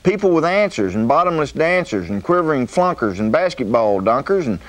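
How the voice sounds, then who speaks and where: A man's voice: fairly high pitch, big pitch swings, brisk, low-quality recording. A man reciting a humorous rhyming poem, rattling off long lists.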